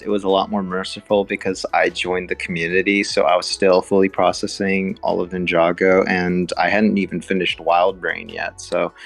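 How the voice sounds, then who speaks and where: A man with a fairly low voice talks as a podcast co-host. He is informal and hedges as he thinks aloud, with music behind the chat. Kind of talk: casual fan podcast chat.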